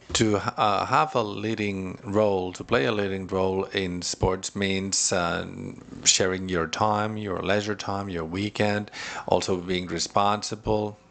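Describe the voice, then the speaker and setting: A man interpreting a conference panel live into English, with a halting, hesitant delivery and many pauses. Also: lowish voice, vocal fry, a bit bright.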